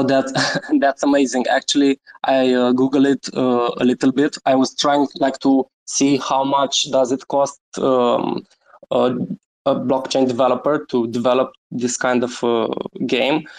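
A man from Romania, a guest on a live audio chat, talking about his project with many pauses, fillers and small laughs. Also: medium pitch, flat delivery, rough recording. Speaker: guest NFT creator. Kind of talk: casual chat on a Twitter space.

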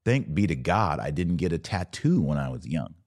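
Deep-voiced man in his forties, blunt. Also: sing-song, moderate pace, darkish tone, no echo. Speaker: podcast host. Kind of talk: casual livestream talk, reading and answering viewer comments.

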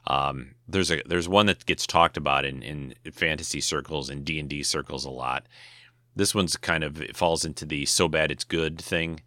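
A middle-aged man with an extremely low voice talks in a loose, rambling way, full of restarts and "you know"s. His tone is bright and forceful, and his throat sounds a little dry. The sound is close and dry, with no room around it. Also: normal speed, dry recording. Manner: rambling, many restarts and fillers. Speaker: podcast host. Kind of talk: casual solo talk.

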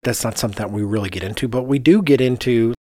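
A man's voice: mid-range voice, quick pace, a little mellow, no echo, clean studio sound. A man co-hosting a podcast, explaining things casually and conversationally, often thinking aloud. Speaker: podcast co-host, architect.

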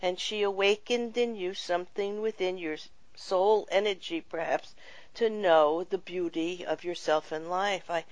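Slow, earnest spiritual talk host woman. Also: on the low side, steady tone, no room sound. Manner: gentle, reflective, earnest. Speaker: show host. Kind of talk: spiritual talk show chat.

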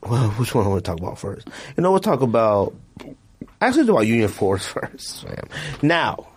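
Animated man in his late thirties. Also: medium pitch, a little fast, breathy edge. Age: late 30s. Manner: animated, emphatic ranting with slangy address. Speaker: podcast host. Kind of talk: casual opinionated podcast chat.

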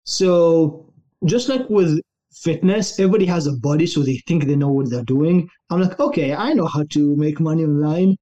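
A young adult man from Israel tells his story with an easygoing, relaxed manner. His voice sits a little high and sounds soft and mellow. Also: normal speed, muffled recording. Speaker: guest, online fitness coach. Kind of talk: casual interview storytelling.

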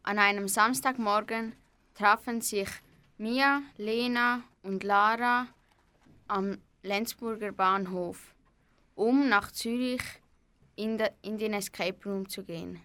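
A young female narrator reads an amateur radio play script. Her voice is bright, of middling pitch. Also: fairly even intonation, slow pace, clean tone.

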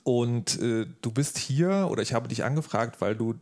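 A man moderates a podcast in an ordinary mid-pitched voice. His delivery is casual and playful. He often interrupts himself and reworks a phrase as he goes. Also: expressive, moderate pace, a little mellow. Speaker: podcast host.

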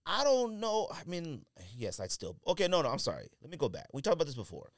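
Man, lively swooping pitch, close dry sound, slangy, blunt, casual podcast debate. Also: quick pace, dry recording. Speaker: sneaker podcast host.

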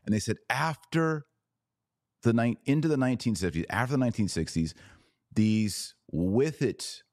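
A man's voice: mid-range voice, animated, normal speed, a little mellow, no echo, studio quality. A man hosting a podcast, giving opinionated commentary with emphatic, repeated phrases. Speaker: podcast host.

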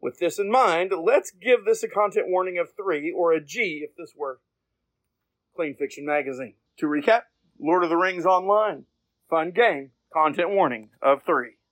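A male podcast host with a high-pitched voice. He narrates a scripted review in a dry recording with no room echo. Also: normal speed, fairly bright tone.

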